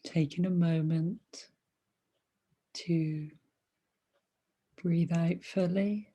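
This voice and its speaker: A woman leading a guided meditation as a teacher, speaking softly and soothingly in short phrases with long pauses between them. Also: on the low side, steady tone, husky. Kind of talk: guided meditation, body scan.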